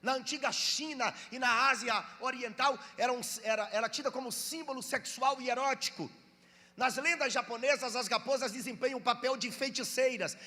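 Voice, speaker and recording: A Brazilian pastor from Bahia with a Bahian accent, preaching a sermon, reading scripture slowly and calling on the congregation to answer. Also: male voice, very high voice, pushed and bright, big-room sound. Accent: Bahian Brazilian Portuguese. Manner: reads scripture slowly and drawn out, urges listeners to respond.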